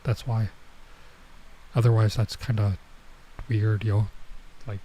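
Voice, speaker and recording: A man doing casual let's play commentary as a streamer, informal and halting as he reads and mutters through translations. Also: lowish voice, little pitch movement, warm tone, a little hiss or hum, rough recording. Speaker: streamer playing a visual novel.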